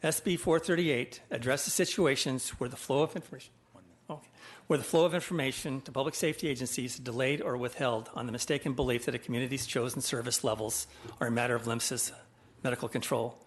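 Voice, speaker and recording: Man, middle pitch, prepared testimony, measured delivery, formal wording, committee witness. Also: fairly even intonation. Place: legislative committee hearing.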